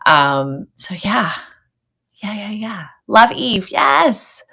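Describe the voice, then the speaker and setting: A woman's voice: medium pitch, very animated, drawn-out words, vocal fry, sharp tone, husky. A woman hosting a casual live sale stream, chatting with viewers and thinking aloud as she goes. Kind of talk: casual livestream chat with viewers.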